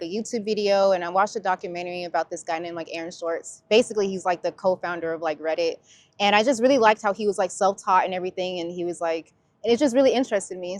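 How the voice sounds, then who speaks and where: A woman's voice: fairly low pitch, dry recording. A young American woman from California, a street interviewee who talks casually and says "like" often. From San Diego, California. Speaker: interviewee, cybersecurity analyst.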